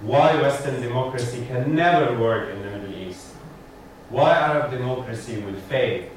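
A man with a Lebanese accent gives a humorous lecture, tossing wry asides to his listeners. He sounds distant from the mic in a strongly echoing hall, with a steady murmur of room noise behind him. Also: mid-range voice, moderate pace. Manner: wry, joking asides to the audience. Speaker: guest speaker, satirist.

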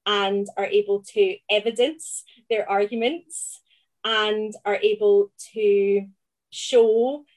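A woman with a Glaswegian accent, speaking as a classics researcher in a chatty, animated interview and laughing often. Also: mid-range voice, slow pace, poor audio. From Scotland. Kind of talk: conversational podcast interview.

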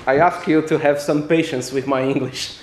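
A man with a Brazilian accent, a native Portuguese speaker, giving an academic lecture in halting, careful English. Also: fairly high pitch. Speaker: law professor, guest lecturer. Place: echoey indoor room. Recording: echoey lecture room.

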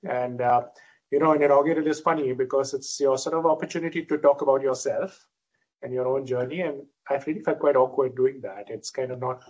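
A middle-aged man from India giving a relaxed academic lecture as a visiting professor, self-deprecating and full of fillers. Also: mid-range voice, brisk, breathy edge, dull audio.

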